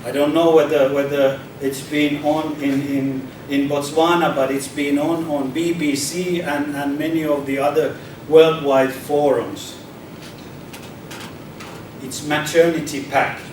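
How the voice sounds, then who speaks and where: A man's voice: a little high, level tone, measured pace. A man from Finland giving an informal public talk, hesitant, often repeating words and restarting sentences. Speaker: speaker at a public debate. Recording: echoey hall from far off, noisy.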